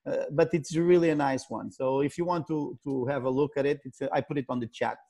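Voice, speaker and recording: A man's voice: mid-range voice, vocal fry, mellow. A middle-aged man with a Portuguese accent, speaking as a guest on a video call, often stalling on repeated words. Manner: halting, many repeated "and" restarts. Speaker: sailing company CEO, guest.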